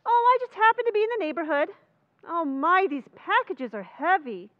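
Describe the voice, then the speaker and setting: Actress as gracious radio-comedy housewife: very high, lilting, exclamatory, recorded dry. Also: female voice, big pitch swings, moderate pace, dry recording, lo-fi sound. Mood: cheery. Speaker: radio drama actor. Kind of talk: old-time radio comedy acting.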